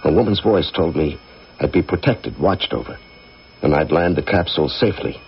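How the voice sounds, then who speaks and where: An actor playing a middle-aged, troubled astronaut commander in a 1980s radio drama, with an earnest, intense, searching delivery. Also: male voice, low voice, moderate pace, creaky, a little hiss or hum. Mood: haunted, reflective. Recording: dull vintage radio recording with music.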